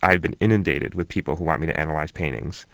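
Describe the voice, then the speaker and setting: Low-voiced guy talking through his research, lots of ums, dry close sound. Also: fast, vocal fry, fairly bright tone, dry recording. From born in Germany to Egyptian parents. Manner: thoughtful, many ums and restarts. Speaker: interview guest, researcher. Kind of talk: relaxed conversational interview.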